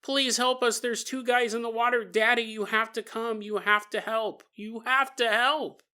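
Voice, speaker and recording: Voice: mid-range voice, steady tone, moderate pace, sharp tone, smooth, voice pushed up, lightly acted. A storyteller voicing a terrified three-year-old girl who pleads insistently for help, repeating herself. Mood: desperate pleading.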